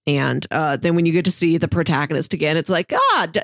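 A woman's voice: deep voice, expressive, brisk, a bit bright, dry recording. Young female co-host, talkative, lots of fillers, dead-dry mic. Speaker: podcast co-host. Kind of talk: casual chat.

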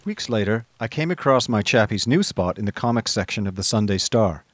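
A man playing a chatty gentleman narrator in a radio drama, telling his story in a conversational, old-fashioned way. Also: on the low side, lively intonation, fairly quick, no echo. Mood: wry, reflective.

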